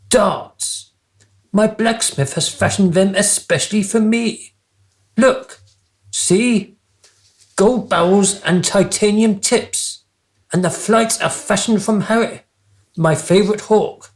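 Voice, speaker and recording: A man's voice: sits high, slow, long pauses. An actor playing a childish, vain and callous king in a comic radio play, pompous and petulant. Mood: gleefully proud. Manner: pompous, petulant, theatrically posh phrasing.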